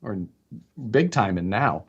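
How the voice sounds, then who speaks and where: Guest, male, nasal tone, low pitch, rambling easy chat. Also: big pitch swings, slow pace, husky, dull audio. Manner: laughs often, rambling. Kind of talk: casual live-stream chat.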